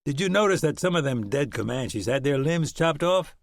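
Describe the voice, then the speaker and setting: A man voicing a tough frontier man who talks in a blunt, crisp drawl. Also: on the high side, animated, normal speed, no room sound, studio quality, pitched above the performer's own voice.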